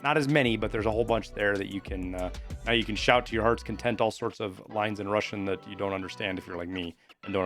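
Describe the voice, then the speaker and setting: A man's voice: lowish voice, a bit bright. A man hosting a video game news update in an informal, conversational, explanatory way. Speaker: gaming news host. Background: background music.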